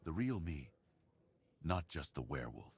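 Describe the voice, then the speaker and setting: A man plays a brooding werewolf with an extremely deep, heavily breathy, husky voice. He speaks slowly and intimately, as a lonely beast confiding his longing in an ASMR roleplay. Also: sing-song, exaggerated voice. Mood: brooding, wistful.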